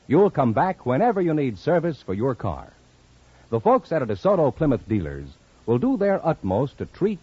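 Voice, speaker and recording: Lively American male 1950s radio announcer. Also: medium pitch, husky. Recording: dull, lo-fi 1950 radio recording.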